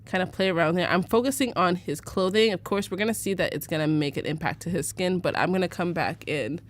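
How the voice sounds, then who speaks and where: A woman's voice: on the low side, fast talker. A young American woman from Arizona giving a casual live photo-editing tutorial, chatty and full of fillers, laughing easily. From United States (Arizona). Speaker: livestream presenter teaching photo editing.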